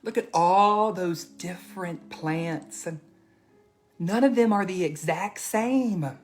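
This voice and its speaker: A man reading aloud and teaching for children, expressive and addressing them directly with questions. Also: high voice, slow, soft background music. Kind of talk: children's storybook read-aloud and lesson.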